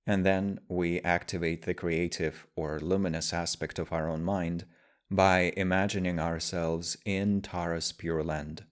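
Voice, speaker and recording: A man guiding a meditation as a teacher, speaking in measured, deliberate phrases with pauses. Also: sits low, level tone. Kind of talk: guided meditation, slow spoken instruction.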